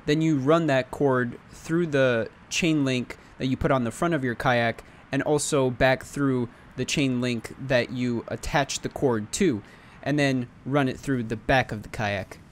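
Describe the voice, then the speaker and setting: A man's voice: mid-range voice, steady tone, unhurried, few pauses, a little hiss or hum. A young man in casual talk explaining a homemade kayak anchor, partly from a kayak on a lake, with fillers and restarts. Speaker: DIY video maker. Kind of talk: instructional voice-over and on-water demo.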